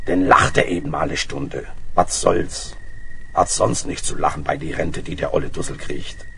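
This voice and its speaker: A bossy petty crook from Berlin in a children's radio play. His voice is very deep and creaky, full of scorn and impatience, on a noisy old record. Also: moderate pace, fairly bright tone, heavily acted. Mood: gruff, dismissive. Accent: Berlin German.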